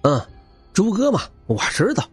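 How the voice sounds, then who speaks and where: A man plays a cocky young male hero of an audiobook, teasing and boastful. His delivery is very animated and a little husky. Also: high voice, normal speed, faint music behind, voice pushed up, heavily acted. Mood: teasing reassurance. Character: cocky, easygoing young man protecting a boy.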